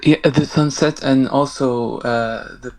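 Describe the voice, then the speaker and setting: A man's voice: medium pitch, steady tone, takes it slowly, darkish tone. A man calling in to a live debate, speaking politely and hesitantly and often stumbling over his words. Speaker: caller.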